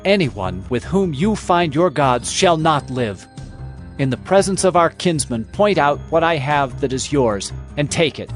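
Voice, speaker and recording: A man's voice: on the high side, animated, a little slow, soft background music. An actor playing an aggrieved, defiant herdsman in a dramatized audio Bible, declaiming with passion. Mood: earnest, defensive. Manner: impassioned, declamatory. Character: Jacob, aggrieved hardworking herdsman, impassioned and defiant.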